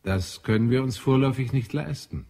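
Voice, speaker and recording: An actor playing a composed, dutiful Martian chief administrator in an audio drama, formal and authoritative. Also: male voice, mid-range voice, brisk, warm tone, lightly acted. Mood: concerned, measured. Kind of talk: German audio drama acting.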